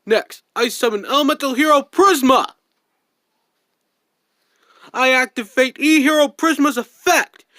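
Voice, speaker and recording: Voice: high-pitched, a little slow, pauses often, pushed and bright, dry recording, clean studio sound. An amateur voice actor declaiming card game duel lines in a theatrical anime fan-dub style.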